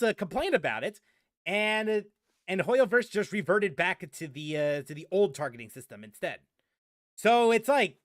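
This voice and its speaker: Brief: male gaming streamer, high voice, dry close sound, rambling frustrated rant. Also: fairly bright tone, dry recording. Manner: rambling, many restarts and fillers, frustrated. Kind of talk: casual stream commentary.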